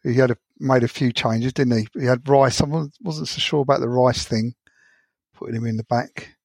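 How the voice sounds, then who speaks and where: A man talks through a match in an easygoing, rambling way. His voice has a gravelly, creaky edge, and the sound is dry and close. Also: mid-range voice, steady tone, normal speed, a little mellow, no room sound. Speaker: podcast co-host. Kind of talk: casual football chat.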